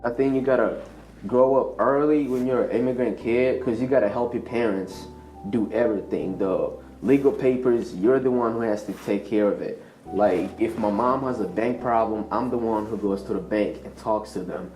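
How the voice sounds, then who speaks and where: A man from Rwanda, an immigrant student, calmly telling his story in a documentary interview. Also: on the low side, moderate pace, roomy sound, faint music behind, poor audio.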